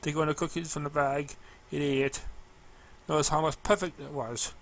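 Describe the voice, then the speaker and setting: A man narrating amateur fan fiction in a halting read, now and then stumbling over words. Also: on the high side, little pitch movement, fairly bright tone. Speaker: story narrator.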